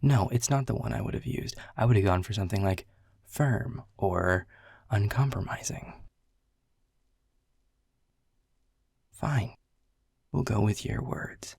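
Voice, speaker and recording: A husky, gravel-edged baritone from a man playing a jaded middle manager who talks straight at the listener. He is blunt and sarcastic and swears freely, with a gruff, prickly manner. The sound is dry and close. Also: moderate pace, a little mellow, no echo. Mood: sardonic. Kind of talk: second-person audio roleplay.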